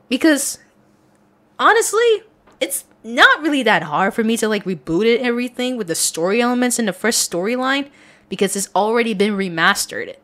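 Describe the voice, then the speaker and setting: A woman talks in an average-pitched voice, casual and chatty. She sounds earnest as she reads out listener questions and answers them. Her replies ramble along informally, like a creator addressing her followers. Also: a bit bright. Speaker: audio content creator.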